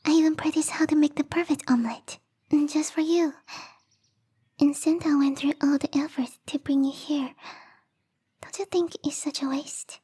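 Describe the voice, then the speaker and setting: A woman voicing a lonely, bubbly young female snow spirit, soft and whispery, in a sweet, pleading ASMR roleplay. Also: high-pitched, fairly even intonation, normal speed, natural voice. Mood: hopeful, eager.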